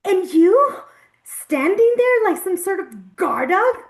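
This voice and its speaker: A woman's voice: extremely high pitch, very animated, slow, exaggerated voice. A woman playing an erratic, hostile, foul-mouthed patient in a drama table read, confrontational and switching between Spanish and English. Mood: furious, agitated.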